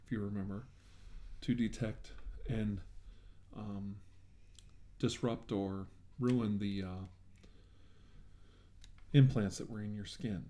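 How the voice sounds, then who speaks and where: Low, mellow ASMR man playing a medic. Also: steady tone, slow, long pauses. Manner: reassuring, attentive bedside talk. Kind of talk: soft ASMR medical roleplay.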